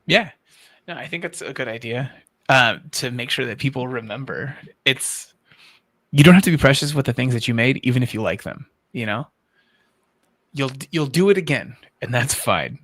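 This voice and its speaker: A man's voice: medium pitch, animated, moderate pace, a bit bright, no room sound. A man chatting casually and asking questions as a livestream co-host.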